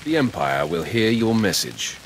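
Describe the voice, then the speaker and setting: An actor playing a cold, sardonic Sith lord in a video game, terse and commanding. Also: male voice, sits low, big pitch swings, moderate pace, soft background music. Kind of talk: video game hero dialogue.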